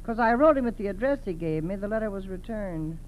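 A woman's voice: medium pitch, expressive, a little fast, darkish tone, a little hiss or hum, lightly acted, poor audio. A woman playing a fugitive's breezy, unbothered acquaintance in 1940s radio style. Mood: breezy, knowing. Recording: humming old radio recording.

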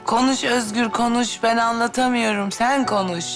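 A woman's voice: fairly high pitch, fairly even intonation, normal speed, over music, lightly acted. An actress playing a flustered, insistent young woman in a television drama, urging in short repeated phrases. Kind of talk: naturalistic TV drama acting.

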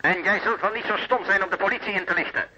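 An actor playing a menacing, taunting blackmailer in a radio play, heard through a telephone filter. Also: male voice, high-pitched, fairly even intonation, fast, bright, forceful tone, husky, heavily acted. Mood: mocking menace. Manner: taunting, threatening. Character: menacing, mocking blackmailer, an old nazi. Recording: band-limited telephone line sound.

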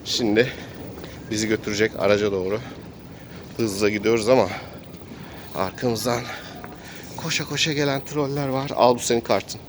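A man's voice: lowish voice, normal speed, pauses often. A man casually improvising the narration of a role-playing game in a playful way, as both game master and player. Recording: clear outdoor traffic and ambient noise.

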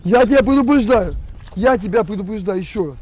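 High-voiced man shouting threats on a phone. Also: expressive, brisk, husky. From Donbas. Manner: angry, shouting threats and insults. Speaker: militia commander. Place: outdoors on an empty road. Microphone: camera a few meters away, on a phone.

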